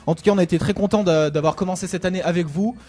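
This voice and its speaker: A young man from Lyon hosting a casual radio show, chatty and relaxed, with hesitant fillers. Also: high voice, rapid-fire. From Lyon, France. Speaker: radio host. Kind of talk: casual student radio chat.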